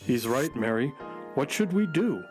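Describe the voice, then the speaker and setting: A woman's voice: a bass voice, measured pace, faint music behind, exaggerated voice. A woman playing a dry-witted, sensible talking space horse, the sidekick in a children's audio drama. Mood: wry. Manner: deadpan, wry.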